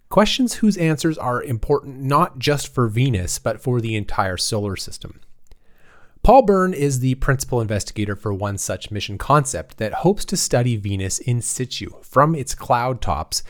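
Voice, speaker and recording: A man hosting a podcast, reading a scripted intro and then chatting eagerly with a guest. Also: medium pitch. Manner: eager, with many fillers and restarts in chat. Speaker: podcast host. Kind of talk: scripted intro, then casual interview chat.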